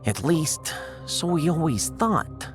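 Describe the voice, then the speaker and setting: A man's voice: fairly high pitch, big pitch swings, takes it slowly, soft background music. A man voicing a haughty, eccentric Russian woman scientist in a science fiction audiodrama, lecturing and teasing with a Russian accent and dropped articles. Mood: explaining.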